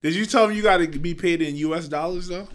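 A man from Trinidad who moved to New York as a teen, hosting a casual podcast interview and talking in a relaxed, chatty way. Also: high voice, quick pace. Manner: laid-back, chatty, often trails off. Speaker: podcast host.

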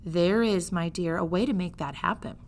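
A woman reading a storybook as a suave male rat who flatters with formal, flowery politeness. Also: fairly low pitch, normal speed, lightly acted. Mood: coaxing, persuasive.

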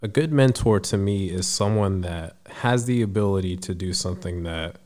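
A man in his twenties with a deep voice, a podcast guest speaking thoughtfully with frequent restarts. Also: darkish tone. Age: 20s. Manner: thoughtful, halting, restarts sentences. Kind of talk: casual interview.

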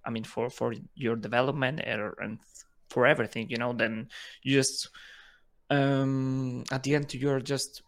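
Halting man. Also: medium pitch, steady tone. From Ukraine. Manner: halting, repeats words as he thinks. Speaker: guest, software engineer. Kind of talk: casual interview chat.

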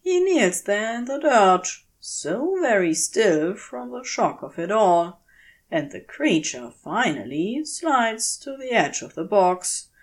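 A woman reading a scripted story aloud with an expressive, unhurried storytelling delivery. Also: medium pitch. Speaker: audiobook-style reader of fan fiction.

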